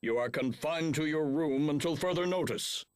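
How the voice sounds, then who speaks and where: A man's voice: a little high, normal speed, no room sound, clean studio sound, lightly acted. An actor playing a stern, middle-aged lord and father in a video game, speaking formally and commandingly. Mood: stern anger. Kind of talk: English video game cutscene acting.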